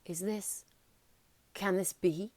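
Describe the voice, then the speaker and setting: A woman's voice: mid-range voice, fairly even intonation, slow pace, a little mellow, no room sound. A woman voicing an emotional, kindly old male bartender in a storybook, speaking with reverence. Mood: awestruck, hushed.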